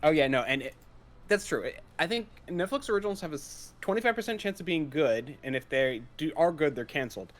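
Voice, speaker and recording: A man's voice: on the high side, expressive, brisk. Rambling man in casual chat. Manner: rambling, many fillers.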